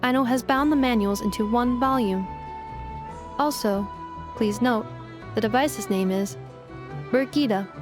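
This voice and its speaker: A woman voicing a polite robot caretaker in a video game, formal, precise and gently stern. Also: a little high, music in the background, lightly acted. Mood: matter-of-fact. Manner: formal, measured, composed.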